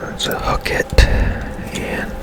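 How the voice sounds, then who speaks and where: Slow whispering man, ASMR game commentary. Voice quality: breathy, unvoiced whisper. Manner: casual, unhurried, halting. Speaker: gaming channel host.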